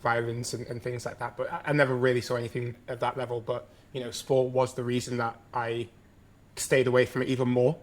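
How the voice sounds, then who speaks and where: A man hosting a podcast, asking halting, reflective questions and repeating his words. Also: medium pitch, level tone. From England. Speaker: podcast host. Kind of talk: interview questions.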